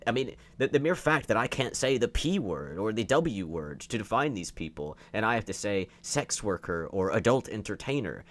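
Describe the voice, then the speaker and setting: A man with a slightly low voice delivers opinionated commentary. He argues emphatically, repeating key phrases for weight and throwing in rhetorical questions as he reacts to what he reads. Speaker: online commentator. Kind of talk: opinionated commentary, reading and reacting to online posts.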